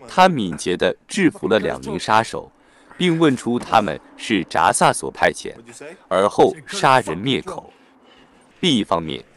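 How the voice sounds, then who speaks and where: A man narrates a prepared plot recap. His voice sits on the low side. The intonation is very animated, rising and falling widely as he reads.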